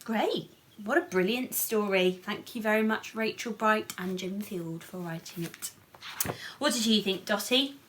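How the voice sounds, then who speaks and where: A woman reads a picture book aloud in a bright voice at a slow, careful pace. She is expressive and playful, speaking straight to young listeners as a storyteller. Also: medium pitch, slight background noise. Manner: animated, asks young listeners questions with playful asides.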